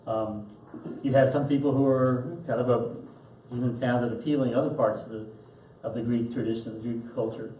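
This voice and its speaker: A man's voice: medium pitch, steady tone, dark tone. Man lecturing in a roomy echoing hall. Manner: thoughtful, halting with fillers and restarts. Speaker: teacher. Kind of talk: lecture answering questions. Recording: roomy echo, mic at a distance, faint hiss.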